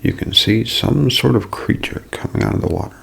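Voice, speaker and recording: Hushed, gravelly man telling ASMR mysteries. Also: on the low side. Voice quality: breathy, hushed half-whisper. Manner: slow, deliberate, broken into short phrases. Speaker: ASMR narrator. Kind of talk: ASMR mystery storytelling, scripted narration.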